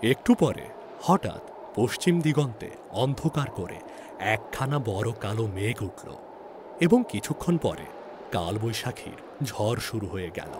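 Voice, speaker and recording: Animated male storyteller, dramatic delivery. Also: on the low side, measured pace, noisy background. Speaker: story narrator. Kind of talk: dramatic scripted literary narration.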